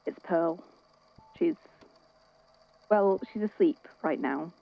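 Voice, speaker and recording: A woman playing a weary, caring friend in an audio drama, talking in a hesitant, conversational, gently wry way. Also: fairly low pitch, slow pace, gravelly, narrow, tinny audio, full character voice. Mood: awkward, subdued. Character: worried, weary, caring friend at a hospital bedside. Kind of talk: naturalistic audio drama acting.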